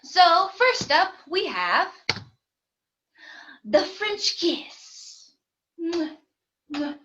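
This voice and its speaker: An upbeat woman hosts a relaxed language podcast, her high voice bright and ringing. She talks slowly and laughs easily between questions, heard over a video call. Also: dull audio, slight background noise. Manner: bubbly, laughs often. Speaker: host and English teacher. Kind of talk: casual podcast lesson chat.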